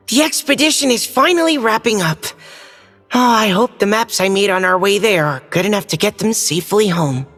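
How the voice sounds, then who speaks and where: Worried caring adventurer girl in a game, mid pitch, lots of reverb, faint music. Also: lively intonation, moderate pace, fairly bright tone. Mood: worried, wistful. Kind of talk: game voice acting.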